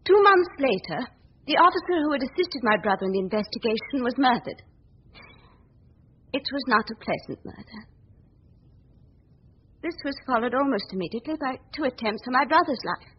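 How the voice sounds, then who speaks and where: A woman playing a determined, earnest young newspaper reporter in 1940s radio drama style, speaking insistently and deliberately. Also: sits high, lively intonation. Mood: grave, earnest. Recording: husky, dull old radio recording.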